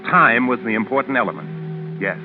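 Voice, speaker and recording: An actor playing a proud, calculating New York criminal lawyer with a guilty secret, narrating in a measured, articulate 1940s radio drama style. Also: male voice, mid-range voice, lightly acted. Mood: wry, calculating. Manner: measured, articulate, self-important. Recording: narrow, muffled vintage radio recording with music.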